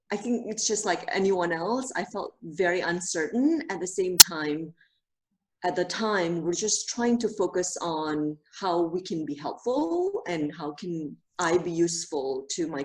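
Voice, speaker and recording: A woman from Indonesia, a Montessori teacher, answering interview questions over a video call, thoughtful and pausing often with fillers. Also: lowish voice, low-quality recording. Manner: thoughtful, many pauses and fillers.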